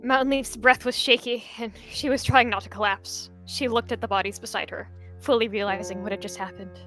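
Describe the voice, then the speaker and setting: A woman narrating a dramatic roleplay story in an expressive, measured storytelling manner. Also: a little high, faint music behind.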